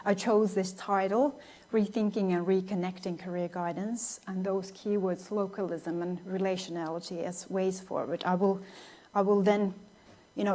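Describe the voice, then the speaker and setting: A woman's voice: mid-range voice, darkish tone. A woman in her thirties from Finland, a non-native speaker giving a thoughtful academic lecture with many restarts and fillers. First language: Finnish. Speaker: guest lecturer.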